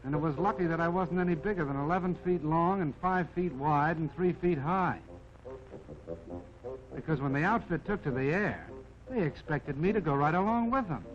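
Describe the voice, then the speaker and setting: A man's voice: on the high side, moderate pace, lightly acted. A man voicing a modest, plucky army jeep that tells its own life story in a folksy, wry 1940s film narration. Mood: earnest, wondering. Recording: dull vintage 1940s film soundtrack, music behind.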